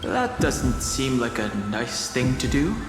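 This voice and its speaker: A man playing a polite, reserved young warrior in a video game, measured and gentle. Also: medium pitch, very animated. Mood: calm, polite. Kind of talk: video game character dialogue. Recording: echoey reverberant hall, loud background music.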